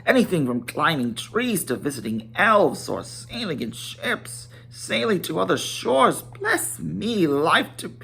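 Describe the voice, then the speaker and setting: Man voicing a fussy, high-pitched, swooping hobbit gentleman. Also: very animated, unhurried, vocal fry, hardly any pauses, slightly rough, full character voice. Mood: excited, apologetic. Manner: polite, exclamatory, rambling. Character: fussy, flustered, excitable little hobbit gentleman. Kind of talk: dramatic storybook reading.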